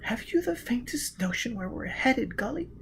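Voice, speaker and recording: A man voicing a frightened, exhausted young woman on the run in an audiobook, anxious and halting, with a whispery, breathy voice. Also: big pitch swings, full character voice. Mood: frightened, pleading. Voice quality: breathy, whispery, high-lifted. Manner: anxious, halting, stammering when overwrought.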